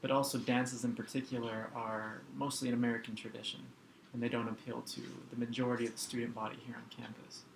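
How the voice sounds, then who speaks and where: A young man explains a student project in a flat, even voice, measured and pausing with um. The sound is roomy and echoey, with clear background noise. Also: mid-range voice. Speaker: anthropology student. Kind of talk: semi-scripted presentation.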